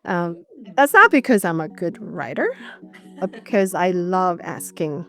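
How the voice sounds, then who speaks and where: A woman's voice: lowish voice, animated, measured pace, a bit bright, soft background music. A middle-aged woman giving candid, reflective answers in a conversational interview as a corporate executive guest.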